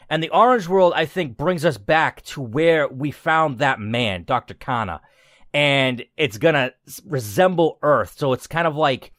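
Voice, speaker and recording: A man hosting a gaming channel, giving casual commentary on a game in an emphatic, gushing way with drawn-out words. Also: medium pitch, a bit bright, no room sound, clean studio sound.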